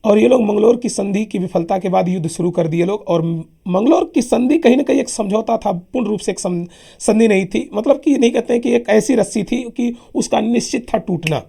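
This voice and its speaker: A man with a sore throat teaching a lively history lesson for exams, emphatic and chatty, often calling his listeners sir. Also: sits high, brisk. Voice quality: husky, gravelly. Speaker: exam coaching teacher. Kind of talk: lively classroom history lecture.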